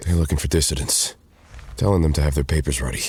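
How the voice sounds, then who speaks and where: A composed soldier captain in an audio drama. He speaks calmly and with authority, his voice very low. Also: fast, slight background noise. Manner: calm, commanding. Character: Captain America, composed male soldier leader.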